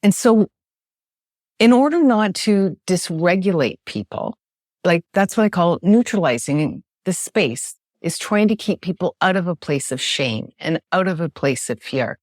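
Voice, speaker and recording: A woman with a naturally loud voice, explaining communication ideas as a guest in an emphatic, animated way, often checking in with "right?". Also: fairly low pitch, unhurried, no room sound, clean studio sound. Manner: emphatic, animated, frequent tag question "right?". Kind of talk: podcast interview, explaining with examples.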